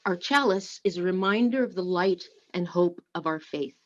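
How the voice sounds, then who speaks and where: Woman, lowish voice, measured worship-leader delivery, dull lo-fi recording. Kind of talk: church service address and poetry reading. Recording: dull, lo-fi online audio.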